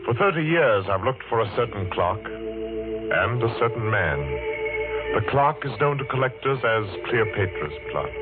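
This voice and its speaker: An actor playing a middle-aged, vengeance-obsessed clockmaker in a 1940s radio drama, intense and deliberate. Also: male voice, low voice. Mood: brooding, vengeful. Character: brooding middle-aged male London clockmaker, obsessed with revenge. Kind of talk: 1940s radio drama acting, first-person narration. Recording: muffled old radio recording, music behind.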